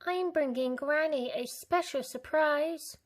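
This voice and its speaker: A woman voicing a cheerful, polite little girl with a high, sweet voice. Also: slow pace.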